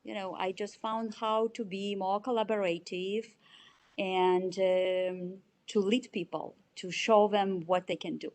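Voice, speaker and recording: A woman in her forties from Belarus with a Russian accent, answering interview questions in a halting way with many fillers. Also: medium pitch, fairly even intonation, a little mellow. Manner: halting, searching for words, frequent fillers. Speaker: CFO, interviewee.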